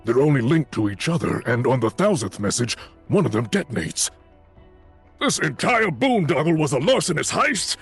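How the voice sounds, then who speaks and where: Fussy, wordy adventurer-mage for fantasy audio drama; husky voice, pompous, very animated delivery. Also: male voice, fairly high pitch, moderate pace, soft background music, full character voice. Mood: musing. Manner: pompous, polysyllabic vocabulary. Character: verbose, fussy, dapper adventurer and spellcaster.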